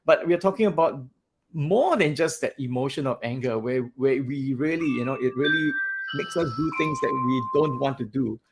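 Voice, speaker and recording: A man hosting a podcast, talking in a loud, animated way and laughing often. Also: mid-range voice, normal speed, loud music behind the voice. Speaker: podcast host. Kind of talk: casual interview chat.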